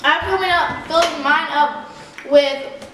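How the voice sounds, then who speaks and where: A woman's voice: high voice, takes it slowly. A teenage girl chatting playfully as co-host of a casual home cooking vlog, recorded in a kitchen by a far-off camera. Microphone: far camera on a tripod. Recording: echoey kitchen, noisy, from afar.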